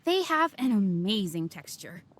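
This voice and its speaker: A woman's voice: medium pitch, big pitch swings, a little slow. A woman voicing a courteous, cheerful restaurant waitress in a video game, politely selling the house dishes. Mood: eager, polite. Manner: polite, service-minded, salesy. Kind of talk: video game character dialogue.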